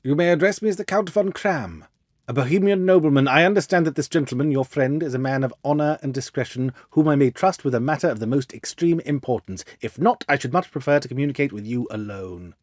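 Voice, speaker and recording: A man's voice: fast, a little mellow, no echo, clean studio sound, natural voice. Man acting a harsh, imperious German-accented nobleman. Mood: imperious, secretive. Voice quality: deep, harsh. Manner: formal, imperious. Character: huge, haughty, agitated Bohemian king, harsh-voiced nobleman.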